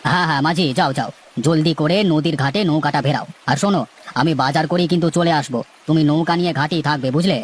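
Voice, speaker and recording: Fast-talking, high-pitched bossy cartoon father acted by a man. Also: close to an everyday voice. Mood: grumbling, bossy. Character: middle-class village gentleman and doting father, chatty, bossy. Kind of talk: acted dialogue in a moral-story cartoon.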